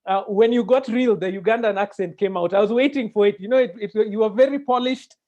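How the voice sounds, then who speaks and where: A man with a Kenyan accent moderating a webinar conversationally, animated and given to digressions and interjections. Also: high-pitched, fast talker. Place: indoor room, video call. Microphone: earphone mic on video call. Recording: dull, lo-fi video-call audio.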